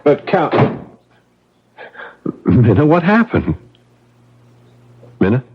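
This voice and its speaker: Practical doctor in old radio play, husky and animated, skeptical, brisk, dull sounding tape. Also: male voice, fairly high pitch, exaggerated voice. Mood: puzzled. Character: practical, rational male doctor, reassuring and skeptical. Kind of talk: 1970s radio drama acting. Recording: muffled 1970s radio broadcast.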